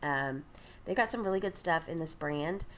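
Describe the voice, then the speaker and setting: Congested woman host, lower voice, rambling get-ready-with-me chat while doing makeup, faint hiss behind. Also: lively intonation, normal speed. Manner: chatty, rambling, many ums and restarts. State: congested, stuffy nasal voice. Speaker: ministry host.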